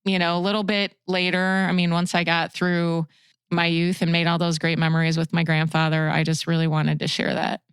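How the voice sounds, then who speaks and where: A woman's voice: fairly low pitch, little pitch movement, brisk, clear, resonant tone, no room sound. An American woman in her sixties from the Chicago suburbs, speaking earnestly and reflectively as an interview guest. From Chicago suburbs, USA. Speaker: podcast guest, fishing guide and outdoor writer.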